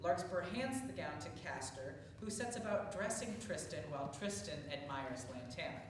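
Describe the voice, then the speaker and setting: A woman with a fairly low voice reads stage directions as narration. She is far from the microphone in a very echoey space, with clear noise behind her. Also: animated, normal speed. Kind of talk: scripted narration at a play reading. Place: theatre space, distant microphone. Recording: echoey room from afar, hissy noise.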